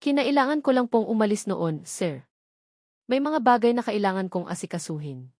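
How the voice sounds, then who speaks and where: An anxious young secretary and mother as a woman narrator acts her out in a romantic audio drama. She is deferential, hesitant and apologetic. Her medium-pitched voice is recorded dry. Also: very animated, moderate pace, warm tone, dry recording. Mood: evasive, deferential.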